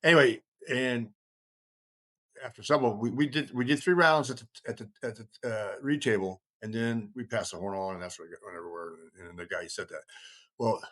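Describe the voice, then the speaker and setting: Casting: male podcast talker, lowish voice, loose rambling delivery that halts and trails off, casual storytelling. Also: fairly bright tone. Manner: rambling, halting, frequently trailing off. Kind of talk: casual conversational storytelling and explaining.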